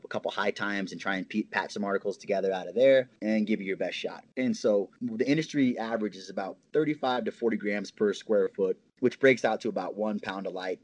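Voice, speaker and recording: A man with a fairly low voice talks as a podcast guest in a loose, conversational way. He explains at length with lively energy and laughs often. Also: no room sound. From Hawaii. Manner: animated, laughs often, explains in long runs. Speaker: podcast guest, cannabis grower. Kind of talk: casual interview chat.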